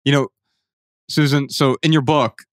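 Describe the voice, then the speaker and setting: A man's voice: mid-range voice, fairly even intonation, a little slow, no room sound, clean studio sound. A man hosting a podcast, talking in an earnest, reflective way and sharing anecdotes. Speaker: podcast host. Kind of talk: casual podcast conversation.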